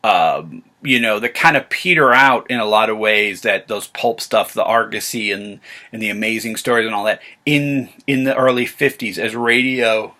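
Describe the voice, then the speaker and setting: A man's voice: on the low side, moderate pace. An American man talking casually to camera as a vlog host, in a rambling, enthusiastic fan's manner. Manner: rambling, excited fan talk. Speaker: vlog host and science fiction reviewer.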